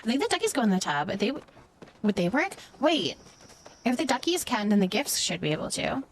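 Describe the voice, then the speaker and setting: A woman's voice: fairly high pitch, big pitch swings, a little fast, slight background noise. A woman livestreaming a game, chatting casually with viewers and thinking aloud as she goes.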